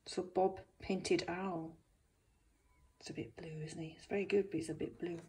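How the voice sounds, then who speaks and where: A woman reading a picture book aloud to young children as its author, expressive, with gentle asides. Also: fairly low pitch, normal speed, slight background noise.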